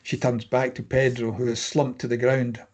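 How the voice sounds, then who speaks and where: A man's voice: medium pitch, little pitch movement, moderate pace, darkish tone, highs cut off. A man narrating a screenplay, reading scene headings and stage directions at a table read.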